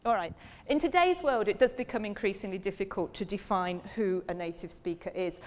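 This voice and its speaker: A woman's voice: mid-range voice, fairly quick. A woman professor giving a public lecture on language teaching, asking the audience questions as she goes. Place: lecture hall. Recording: long reverb of a lecture hall.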